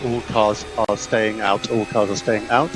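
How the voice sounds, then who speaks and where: Guy race engineer on team radio, short repeated calls, loud car noise behind. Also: fairly low pitch, level tone, a little slow. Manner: clipped, repeats instructions. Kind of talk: motorsport team radio instructions.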